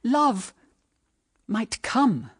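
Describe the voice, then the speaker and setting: An actress playing a middle-aged, embittered widowed mother in a radio drama, speaking in an earnest, measured, persuasive way. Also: fairly high pitch, big pitch swings, breathy edge, no echo, studio quality, full character voice. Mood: grave, persuasive. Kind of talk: BBC radio drama acting.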